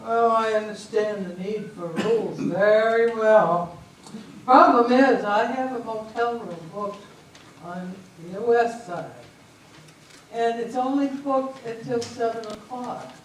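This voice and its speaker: A woman's voice: a little high, takes it slowly. A woman on stage playing a polite, hesitant but insistent stranded traveller, pleading for help. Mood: polite, flustered. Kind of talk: amateur readers theater stage performance. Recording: echoey hall from far off, faint noise.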